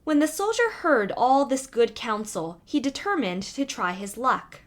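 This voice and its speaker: A woman's voice: a little high, sing-song, unhurried. A woman reading a fairy tale aloud as a storybook narrator.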